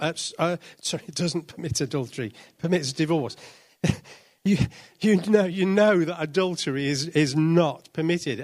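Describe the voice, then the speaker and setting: Guy giving a church sermon, slightly high voice, lots of ums and restarts. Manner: thoughtful, explanatory, with many restarts and ums. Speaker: preacher.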